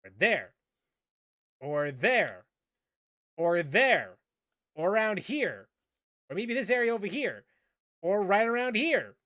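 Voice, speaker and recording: A man's voice: sits high, very animated, takes it slowly, pauses often, a bit bright, no room sound, studio quality. A man giving casual gameplay commentary as a streamer, with wry, sarcastic asides. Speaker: gaming streamer. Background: game music.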